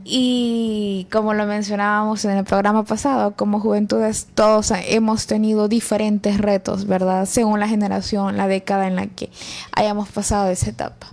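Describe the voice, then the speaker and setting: Una joven adulta con acento salvadoreño presenta un programa cristiano y hace preguntas con cortesía, entre pausas y muletillas. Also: female voice, mid-range voice, level tone, gravelly, a little mellow. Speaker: host.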